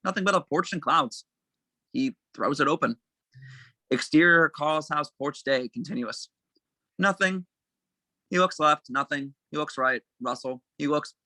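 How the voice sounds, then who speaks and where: A man's voice: fairly high pitch, a little slow, long pauses, bright, forceful tone, dry recording. A man narrating stage directions from a script at an amateur table read.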